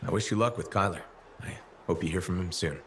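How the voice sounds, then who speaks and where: An actor playing an easygoing freelancer hero in a video game, friendly, sympathetic and lightly wry. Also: male voice, sits low, quick pace, a bit bright. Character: kindly, easygoing male freelancer player hero. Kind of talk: video game dialogue acting.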